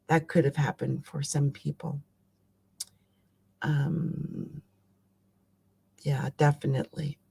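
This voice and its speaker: A woman with a raspy voice chatting casually as the host of a live astrology stream, rambling and conversational with emphatic asides. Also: low-pitched, flat delivery, takes it slowly, long pauses, darkish tone.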